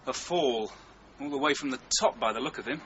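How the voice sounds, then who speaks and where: Man as a clipped, sharp-voiced detective. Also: medium pitch, brisk, slight background noise, close to an everyday voice. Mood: brisk, intent. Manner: clipped, quiet, businesslike. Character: brisk, observant detective examining a crime scene. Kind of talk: naturalistic radio drama acting. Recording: dull radio recording, faint outdoor ambience.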